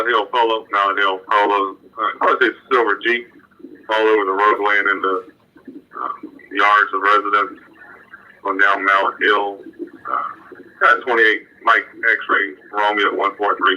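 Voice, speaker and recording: Male cop calling in a report on police radio, kinda low but really harsh bright sound. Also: level tone, moderate pace. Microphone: two-way radio. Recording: tinny police scanner radio transmission.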